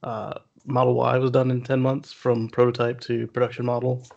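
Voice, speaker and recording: A man with a gravelly, fairly middle-pitched voice talks through news casually as a show co-host. He speaks haltingly, and the audio is a bit dull and lo-fi. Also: monotone, a little mellow.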